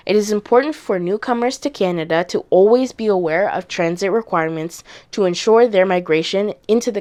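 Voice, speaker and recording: A woman reading a scripted informational segment in an even, measured way. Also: medium pitch. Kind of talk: scripted narration.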